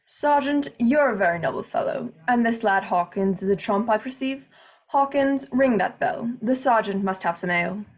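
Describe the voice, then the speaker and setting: Pompous, excitable squire played by a woman, husky edge, old-fashioned emphatic diction, period radio play. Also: on the high side, normal speed. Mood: hearty, bombastic. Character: pompous, excitable, hearty English country squire, male.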